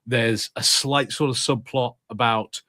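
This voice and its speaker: A man co-hosting a podcast, rambling in animated casual chat and laughing easily. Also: medium pitch, a little slow, no echo. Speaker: podcast co-host.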